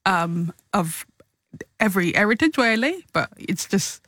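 A woman's voice: mid-range voice, normal speed, dry recording. Woman guest, laughs a lot, upbeat chatty, dry recording. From London. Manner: laughs often, lively and playful. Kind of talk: casual interview chat.

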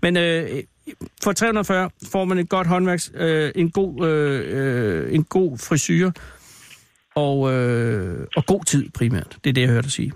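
A man's voice: fairly high pitch, expressive. A man hosting a casual radio interview, feeling his way through questions with hesitant phrasing and teasing asides. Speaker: radio host interviewing a caller. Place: radio studio.

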